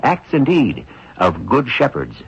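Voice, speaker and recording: A man hosting a radio drama, giving solemn, deliberate scripted narration. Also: mid-range voice, huge pitch swings, gravelly, breathy edge. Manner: measured, solemn, deliberate phrasing. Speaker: host. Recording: muffled old radio broadcast. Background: music.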